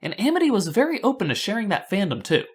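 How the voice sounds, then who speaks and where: A woman's voice: mid-range voice, sing-song, fast talker, darkish tone, husky. A woman narrating scripted fan analysis as a video host, with a wry, rhetorical delivery.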